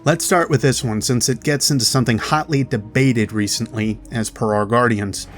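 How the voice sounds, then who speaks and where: A man's voice: mid-range voice, fairly even intonation, moderate pace. A man hosting a video, giving chatty, explanatory commentary as a voice-over about game lore. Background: music.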